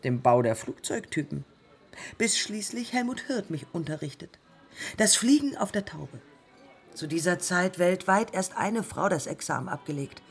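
An actress playing a defiant, haunted early woman pilot in a German radio play, speaking in terse, deliberate fragments. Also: fairly low pitch, expressive, husky. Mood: matter-of-fact, resolute. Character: pioneer woman aviator, haunted, defiant, morphine-addicted.

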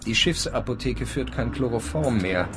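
An actor playing a sardonic, world-weary bohemian gentleman in a radio drama, mocking and florid, with music behind him. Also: mid-range voice, expressive, normal speed, natural voice. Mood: wry, declaiming.

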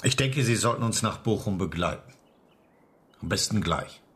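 An actor playing a firm, controlled police inspector with a curt, commanding manner in a radio crime drama. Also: male voice, on the low side, expressive, moderate pace, a bit bright, breathy edge, full character voice. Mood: calm, firm.

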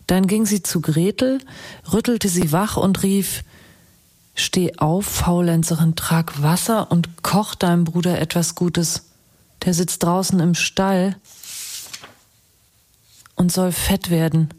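A woman acting in a radio drama as a thoughtful journalist with a husky voice. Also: lowish voice, steady tone, slow pace, long pauses, a little mellow, no room sound. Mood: storytelling. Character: thoughtful female journalist investigating a fairy tale. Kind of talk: naturalistic radio drama, storytelling.

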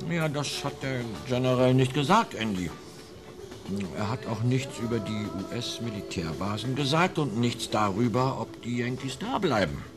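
A man's voice: medium pitch, lively intonation, moderate pace, music in the background, natural voice. An actor playing an evasive tailor turned informant in a German radio play, telling his story in a hesitant, ingratiating, roundabout way. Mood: nervous, confiding.

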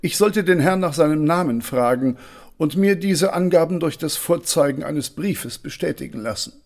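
A stiff, deferential butler in a radio mystery. The voice is fairly high for a man with a gravelly creak, and every answer is measured and correct. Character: formal, deferential male butler, stiff and precise. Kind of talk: German radio crime play acting.

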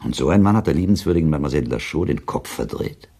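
A man's voice: animated, racing, dark tone, full character voice. An actor playing a skeptical, ironic man in a radio drama, needling his partner in a dry, clipped manner. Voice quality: husky, gravelly very low voice. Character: skeptical, ironic male listener needling a storyteller. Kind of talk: German radio drama acting, dry dialogue.

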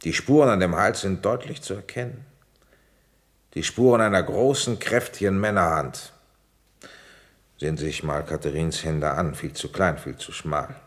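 A man playing a methodical, probing police commissioner who also narrates a radio crime play in a deliberate manner. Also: low-pitched. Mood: stern, probing. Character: methodical police commissioner investigating a village murder. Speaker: detective and narrator. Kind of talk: radio play acting and first-person narration.

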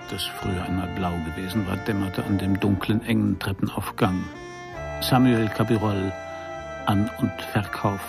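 A hard-boiled private eye, telling his story in a low voice with a gravelly edge. He is dry and sardonic, measured in delivery, with faint music underneath. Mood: wry, atmospheric. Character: wry, hard-boiled male private detective narrating his case. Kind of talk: scripted first-person noir radio play narration.

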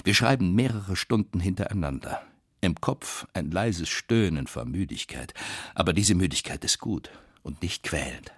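A very low, crackling voice telling a literary tale for radio. He is wry and dramatic, with big swings of intonation and a husky grain. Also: expressive, moderate pace, no echo, studio quality, heavily acted. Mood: reflective. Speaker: actor reading narration and letters.

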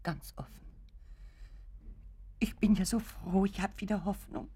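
An actress playing an anxious, doting mother in a radio play, pleading in a breathy voice that often sinks towards a whisper. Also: mid-range voice, mellow. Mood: relieved, emotional. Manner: pleading, drawn-out, dropping into whispers. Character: anxious, doting mother clinging to hope for her son. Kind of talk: German radio play acting.

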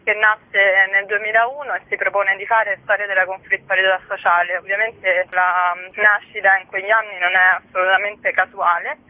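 A woman's voice: medium pitch, level tone, normal speed, hardly any pauses. A woman speaking as a magazine editor in a phone interview, explaining a project. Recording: dry, tinny telephone line.

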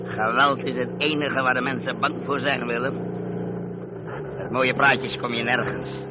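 Man acting hot-headed thief, menacing, scornful, radio play, thin phone-like sound, loud background noise. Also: medium pitch, steady tone, unhurried, natural voice. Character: hot-headed thug, an impatient younger male thief. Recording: noisy phone-like old radio recording.

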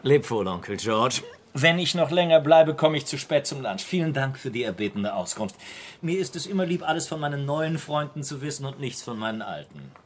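Actor doing a suave witty aristocrat in a radio drama, lively pitch, flippant, some ambience behind. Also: male voice, a little fast, a little hiss or hum, lightly acted. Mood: suave, breezy. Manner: polished, flippant. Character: suave, witty, idle aristocratic gentleman, the nephew. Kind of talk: German radio play acting.

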